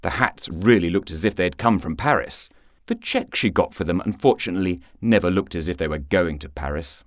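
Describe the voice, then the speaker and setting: A man's voice: low voice, animated, brisk, dry recording, studio quality. A man narrating a scripted short story for radio in a wry, theatrical storytelling manner.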